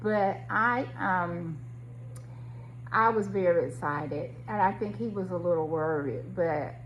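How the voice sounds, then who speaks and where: A middle-aged woman with a somewhat low voice shares a personal story as a vlogger. She is conversational and reflective, addressing listeners directly. A faint hum and noise sit behind her voice in the recording. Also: takes it slowly, highs cut off.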